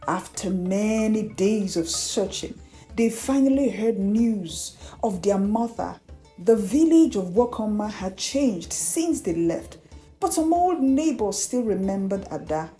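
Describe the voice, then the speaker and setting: A woman narrating a scripted folktale as a storyteller, in an unhurried, measured delivery. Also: mid-range voice, mellow. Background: background music.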